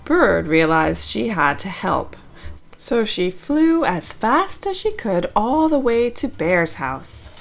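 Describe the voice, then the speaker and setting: Woman reading a kids book out loud, really slow, lots of up and down in her voice. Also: medium pitch, gravelly. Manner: expressive, deliberate, dramatic pauses. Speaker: children's book narrator. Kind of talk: read-aloud storytelling for children.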